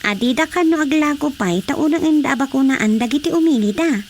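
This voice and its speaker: A woman's voice: sits high, animated, brisk. An actress playing a curious, concerned woman trading news in a radio drama comedy. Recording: background ambience noise.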